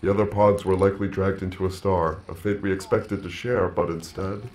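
An actor playing a grave alien colony that speaks through a man's body, solemn and measured, in an audio drama. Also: deep voice, flat delivery, darkish tone, natural voice. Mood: grave, accusing. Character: ancient alien hive-mind plant colony speaking through a man, grave.

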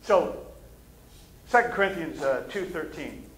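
Plain-spoken Midwestern pastor, echoey church. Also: male voice, a little high, expressive, unhurried, fairly bright tone. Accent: Midwestern American. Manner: casual, plain-spoken, asks the room for agreement. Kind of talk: conversational sermon. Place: church stage, behind a pulpit.

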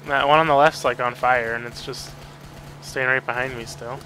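A man's voice: mid-range voice, steady tone, moderate pace, sharp tone, faint music behind, rough recording. A man giving casual gameplay commentary, reacting with grumbling and swearing as he plays. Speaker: video game player.

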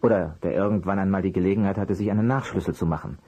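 A man playing a polite, persistent private detective in an audio drama, with a husky voice and a courteous, probing way of asking. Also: low voice, rapid-fire, warm tone, dull audio. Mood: probing, thoughtful. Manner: courteous, probing, hesitant at times. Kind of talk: German audio drama acting.

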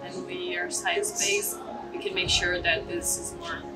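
A woman's voice: lowish voice, big pitch swings, moderate pace, over loud music. A woman with a Brazilian Portuguese accent, a crop and cattle farmer answering interview questions earnestly, sometimes searching for words. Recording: distant mic.

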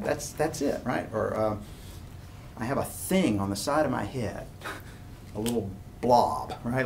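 A man in his sixties giving an informal university lecture in a conversational way, often checking in with his listeners. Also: on the high side. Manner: conversational, animated gesturing, rhetorical check-ins. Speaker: college professor lecturing. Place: university classroom, standing at lectern. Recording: classroom recording with clear background noise.

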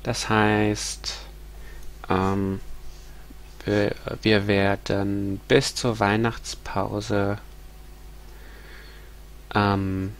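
A man's voice: lowish voice, level tone, very slow, pauses often, slight background noise. A man in his thirties with a stuffy nose from a cold, giving a recorded university lecture over slides, hesitant with many ums and restarts. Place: small room at home.

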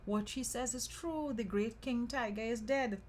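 A woman's voice: fairly high pitch, a little slow, darkish tone, voice pushed up. A woman playing gossipy market townsfolk in a folk tale, emphatic and matter-of-fact.

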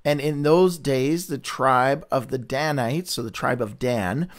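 A man's voice: medium pitch, a little slow. Upbeat man with a stuffy nose. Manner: upbeat, chatty, greets viewers by name. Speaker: host of a Bible reading livestream. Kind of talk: casual livestream chat and scripture reading.

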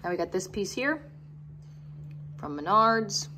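A woman's voice: mid-range voice, sing-song, slow pace, pushed and bright, slight background noise. A woman casually narrating a home renovation project in an unfinished basement, upbeat and teasing. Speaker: co-host of a home renovation channel.

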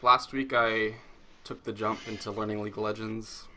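A man's voice: on the low side, level tone, moderate pace, pushed and bright, a little hiss or hum. A man in his mid twenties, a game player chatting in an easygoing, friendly way on a video call. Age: 20s. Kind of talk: casual chat.

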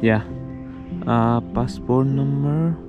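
A man's voice: mid-range voice, narrow, tinny audio. A young man from Nepal with a Nepali accent, chatting casually in a vlog on a suburban street, filmed on a body camera. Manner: quick, chatty, friendly. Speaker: vlogger. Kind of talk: casual vlog chat, mixing Nepali and English. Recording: background music over the voice.

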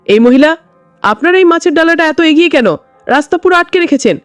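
An arrogant police inspector, acted out by a woman storyteller barks commands with contempt. The pitch jumps high, and the sound is dry with music beneath, like an audio drama. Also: animated, fairly quick, no room sound. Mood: angry, haughty. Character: arrogant, bullying male police inspector barking orders.

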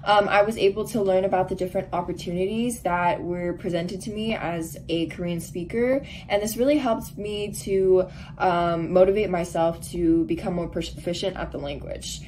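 A woman's voice: lowish voice, steady tone, normal speed, a little mellow, a little hiss or hum. A teenage girl, a student, giving an earnest, friendly testimonial from her bedroom, with a few ums. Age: teens. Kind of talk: prepared testimonial. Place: bedroom at home, indoors.

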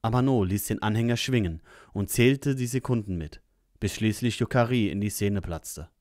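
A man's voice: fairly low pitch, normal speed, darkish tone, no room sound, clean studio sound. A man narrating an audio drama in a scripted storytelling style.

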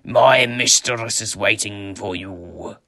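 Storybook monster guarding a cave, performed by a male narrator: eerie, menacing, drawn-out delivery. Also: on the low side, pushed and bright, full character voice. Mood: ominous. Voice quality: eerie, many-voiced menace. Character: strange half-bird, half-wolf creature guarding a cave.

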